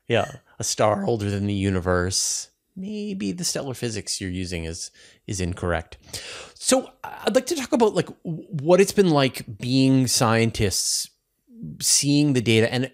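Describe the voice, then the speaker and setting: A man hosts a science discussion with a very lively, swinging intonation. He sounds conversational and puts his questions together haltingly as he talks. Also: mid-range voice. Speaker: host and science communicator interviewing scientists. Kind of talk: conversational panel interview.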